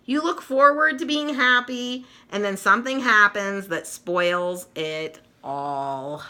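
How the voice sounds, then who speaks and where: A woman's voice: medium pitch, takes it slowly, bright, forceful tone, smooth. A woman voicing a bossy, exasperated young tomboy girl from a storybook, with emphatic, dramatic delivery. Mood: worried, sorry.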